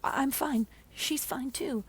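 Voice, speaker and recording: A woman voicing an alarmed, bewildered male homeowner, hushed and urgent, stammering in a horror story. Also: sits high, a little slow, pitched above the performer's own voice. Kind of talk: whispered horror story acting.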